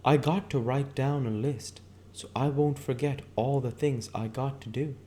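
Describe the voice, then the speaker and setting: A man's voice: medium pitch, steady tone, measured pace, warm tone, slight background noise, pitched above the performer's own voice, close to an everyday voice. A man voicing a brash, gushing, affected married woman in a dramatic, gossipy way, reading from a novel. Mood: gushing, showy. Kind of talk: character voice in an audiobook reading.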